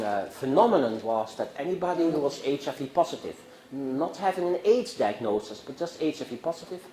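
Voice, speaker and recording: An older man from the Netherlands with a Dutch accent gives a university lecture to students, talking in a discursive way with halting restarts and rhetorical questions. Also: on the high side, darkish tone. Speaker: guest lecturer. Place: university classroom. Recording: echoey classroom with faint noise.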